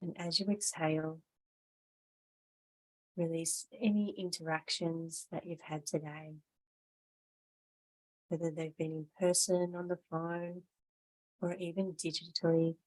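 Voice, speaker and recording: A woman yoga teacher gently guiding a meditation class in a measured, instructional way. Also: on the low side, flat delivery, low-quality recording.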